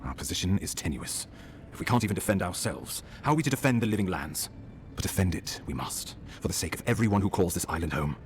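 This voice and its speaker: An actor playing a grave, dutiful ambassador of a fantasy empire in a video game, speaking formally. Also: male voice, sits low, lively intonation, fast, slight background noise. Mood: grave, resolute. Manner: formal, stately wording. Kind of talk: dramatic video game dialogue.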